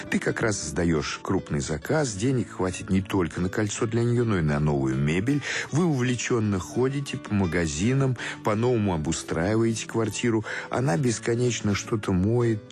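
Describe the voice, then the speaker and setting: Guy with a low voice narrating a story for radio drama, measured reading, bit of music underneath. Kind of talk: scripted literary narration.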